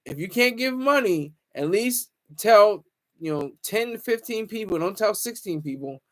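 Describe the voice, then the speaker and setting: High-voiced man rambling on a livestream. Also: animated, moderate pace. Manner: rambling, teasing and joking with viewers; stammers and restarts often. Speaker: livestream host. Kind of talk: casual livestream chat.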